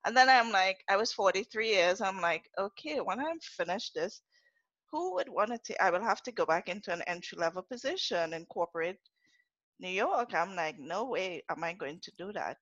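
A woman's voice: mid-range voice, lively intonation, normal speed, fairly bright tone, no room sound, dull audio. A middle-aged woman from Trinidad with a Trinidadian accent, a podcast guest chatting casually and laughing through her story.

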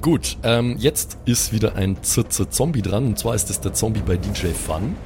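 A low-pitched man narrates a tabletop fantasy game as game master. He describes the action in detail, pausing to improvise, with music underneath. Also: animated.

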